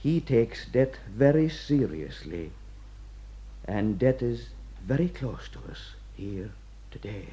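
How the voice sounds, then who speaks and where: A devout Irish prison warder in middle age for a radio play. His voice is very mellow and unforced, his manner deferential, homely and given to musing. The recording is slightly dull. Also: mid-range voice, animated, slow. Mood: gently wry. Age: middle-aged. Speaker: actor.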